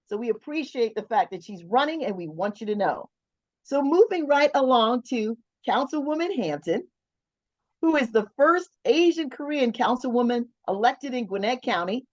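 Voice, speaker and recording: A woman's voice: a little high, a little mellow, no room sound. A woman moderating a political meeting, introducing speakers in an upbeat, emphatic way. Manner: upbeat, emphatic, keeps things moving. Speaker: event moderator. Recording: dull, dry sound.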